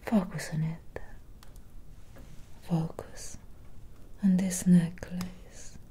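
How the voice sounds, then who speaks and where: A young woman playing a soothing, gentle Victorian vampire doctor in a breathy ASMR whisper. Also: on the low side, little pitch movement. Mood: soothing, hypnotic. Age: young adult. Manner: slow, soothing, lingering pauses. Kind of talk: ASMR roleplay. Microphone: close ASMR microphone.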